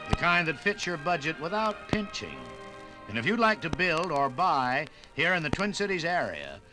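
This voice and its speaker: A man's voice: a little high, pushed and bright, low-quality recording. An American man reading a 1950s radio sponsor message in a folksy, reassuring sales style. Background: music.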